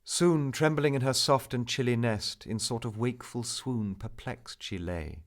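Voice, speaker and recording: A man narrating a Romantic poem as a dramatic recitation, theatrical and measured, swelling and falling to hushed tones. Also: mid-range voice. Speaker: narrator, poetry reader.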